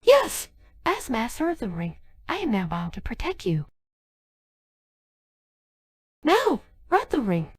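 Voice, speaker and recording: A woman voices a solemn, formal female genie for a game cutscene. The voice is synthetic and fairly high, with a husky grain and very animated pitch. She speaks earnestly, as if pleading with a master. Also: moderate pace, dry recording, clean studio sound, exaggerated voice. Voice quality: synthetic text-to-speech voice. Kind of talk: dubbed game cutscene dialogue by text-to-speech.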